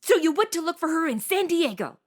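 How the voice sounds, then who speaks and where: A woman in her twenties playing a brash, excitable, slangy amateur detective in a comedic audio drama. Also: very high-pitched, brisk. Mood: sassy, nosy. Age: 20s. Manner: sassy, slangy, interrupting.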